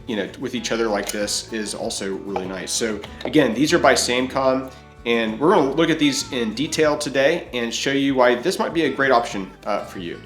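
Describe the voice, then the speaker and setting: A man's voice: mid-range voice, a bit bright. A middle-aged man giving a casual product overview as a video host, plain-spoken and folksy. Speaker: video host reviewing gear.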